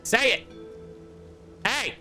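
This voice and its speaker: A man streaming a game playthrough with excitable, profane, playfully exasperated commentary. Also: drawn-out words, over music. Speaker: streamer, VTuber.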